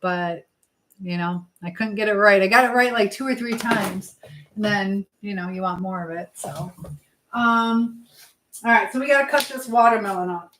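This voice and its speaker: A woman's voice: medium pitch, moderate pace, fairly bright tone, slight background noise, rough recording. A woman hosting a casual live chat, rambling and trailing off mid-thought as she talks to viewers and reads their comments. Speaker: live stream host.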